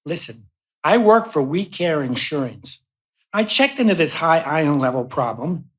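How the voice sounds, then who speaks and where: A woman's voice: deep voice, lively intonation, moderate pace. A woman playing an affable, wry male patient in a live radio play.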